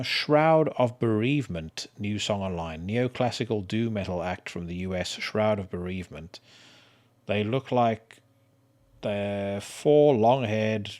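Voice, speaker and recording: A man's voice: on the low side, a little mellow. A middle-aged man from South Africa with a South African accent, hosting a podcast in casual, rambling chat, swearing freely and restarting often. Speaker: podcast host.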